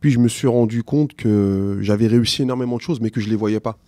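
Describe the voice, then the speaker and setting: A middle-aged man whose first language is not French, answering interview questions as a teacher, slowly and hesitantly with many restarts. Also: mid-range voice, warm tone, no room sound.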